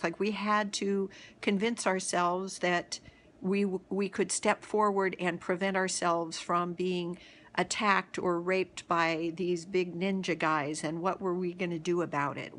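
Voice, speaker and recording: A middle-aged woman telling a reflective personal story as host of a daily video series, halting often, with pauses and restarts. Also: lowish voice, steady tone, fairly bright tone.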